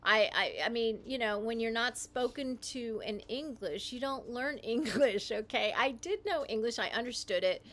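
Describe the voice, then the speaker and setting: A woman talking alone on a livestream from her home, rambling with halting restarts. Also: on the high side, expressive, fairly bright tone. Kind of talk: casual livestream monologue.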